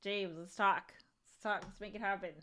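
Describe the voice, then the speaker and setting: A woman co-hosting a casual livestream podcast, talking in an animated way with lots of fillers and a strong, carrying voice. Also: mid-range voice, normal speed. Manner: animated, thinks aloud with many "like" fillers. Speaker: co-host.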